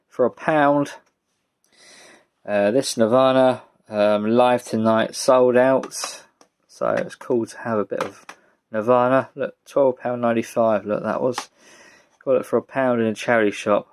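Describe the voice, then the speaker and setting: A British man giving a casual, rambling show-and-tell as a vlogger, speaking in a halting, low-key way. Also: darkish tone, a little hiss or hum. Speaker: vlogger showing a music collection.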